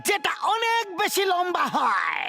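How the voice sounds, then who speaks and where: A man voicing a stern, boastful police inspector in a cartoon, declaiming pompously with drawn-out words. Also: extremely high pitch, wildly swooping pitch, gravelly, shouting, over music, heavily acted. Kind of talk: exaggerated cartoon dub acting.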